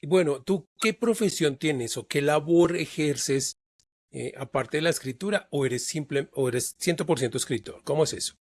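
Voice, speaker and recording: A man hosts a podcast interview. His questions are friendly and formal, and his voice sits a little higher than most men's. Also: moderate pace, dry recording. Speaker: podcast host.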